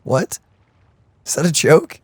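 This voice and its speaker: A woman streamer giving casual, teasing reaction commentary over a video game, very expressive. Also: no echo. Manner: expressive, teasing, quick to laugh. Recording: game music faintly behind.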